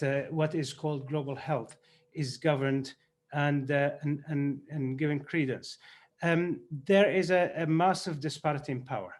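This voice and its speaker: A man speaking in a measured, hesitant way on a video-call panel, with many fillers. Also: fairly high pitch. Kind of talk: panel discussion.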